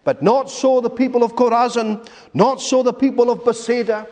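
A man preaching a sermon in an emphatic, rhetorical way, with repeated phrases and dramatic pauses. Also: very high-pitched, steady tone, darkish tone. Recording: long reverberant hall echo.

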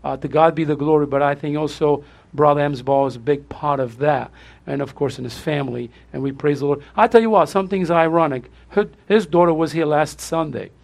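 A pastor with a Portuguese accent greets his congregation in a raspy, gravelly voice that sits a little high for a man. He is effusive and repeats himself, laughing often as he thanks people. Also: fairly even intonation, a little fast, a little mellow. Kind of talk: church service welcome.

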